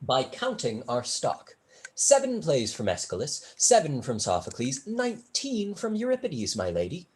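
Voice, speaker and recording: A performer playing a witty young male tutor in a staged period play, speaking in a dry, measured, articulate way. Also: mid-range voice, wildly swooping pitch, darkish tone. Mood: wry, philosophical.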